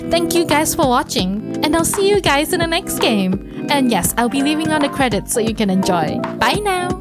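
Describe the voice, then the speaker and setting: A woman let's-player reacting casually to a game in an excitable manner, with gasps and exclamations. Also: high-pitched, normal speed. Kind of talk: casual gameplay reactions and reading aloud. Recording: loud music behind the voice. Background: loud game music.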